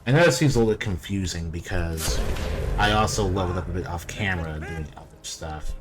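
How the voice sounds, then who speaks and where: Low-pitched man doing gameplay commentary. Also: a little fast. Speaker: gaming video host. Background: game music.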